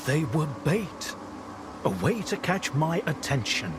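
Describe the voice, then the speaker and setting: An actor playing a calm, principled wasteland doctor in a video game, speaking in a measured, solemn way. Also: male voice, a little high, background noise. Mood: firm, reflective. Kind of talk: dramatic video game dialogue.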